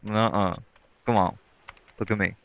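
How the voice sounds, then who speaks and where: Man playing a low, gravelly, sweet boyfriend. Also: fairly even intonation, measured pace, heavy background noise. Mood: gently firm. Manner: laid-back, coaxing, slangy. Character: sweet, caring, teasing lightning elemental boyfriend. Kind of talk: intimate boyfriend audio roleplay, casual speech.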